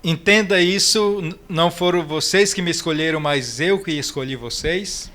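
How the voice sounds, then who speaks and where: Brazilian-accented guy interpreting a sermon, slightly high voice, quick and fluent, restarts sometimes. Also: bright, forceful tone. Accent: Brazilian Portuguese. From Ceará, Brazil. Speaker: missionary interpreter.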